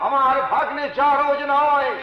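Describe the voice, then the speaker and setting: A man's voice: extremely high pitch, level tone. An actor playing a righteous brother defending his wronged sister, declaiming in Bengali folk theatre melodrama. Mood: indignant, defiant. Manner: declamatory, drawn-out emphatic phrases. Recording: echoey, dull stage recording, loud music.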